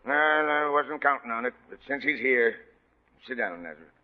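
An actor playing a crusty but kindly old lighthouse keeper, grumbling and blustery, in a radio drama. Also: male voice, medium pitch, lively intonation, moderate pace, close to an everyday voice. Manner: grumbling, blustery with folksy sailor talk. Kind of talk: 1940s radio drama acting. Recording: muffled vintage radio broadcast.

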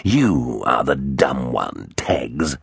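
A man playing a chunky, scary dinosaur villain, boastful and menacing, with a rasping hiss in a children's storybook. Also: a bass voice, big pitch swings, drawn-out words, no room sound, clean studio sound, voice pushed down, heavily acted. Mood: hissing menace. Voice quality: rasping, hissing.

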